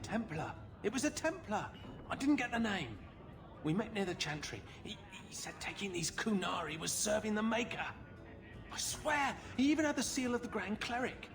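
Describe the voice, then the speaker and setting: High-pitched, blustering drunken lowlife. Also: male voice, big pitch swings, moderate pace, over music. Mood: panicked, pleading. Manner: swaggering, blustering, rough street talk. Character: boastful drunken male lowlife, cocky but cowardly. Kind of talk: video game cutscene acting.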